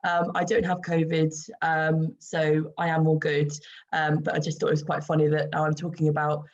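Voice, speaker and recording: A low-pitched, croaky woman in her forties talks casually to viewers over a video call, filling pauses with ums. The sound is dull and lo-fi, like laptop call audio. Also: monotone. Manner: chatty, many ums, light laughs. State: croaky voice after throat infection. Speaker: webinar host and skincare expert. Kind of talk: casual online presentation.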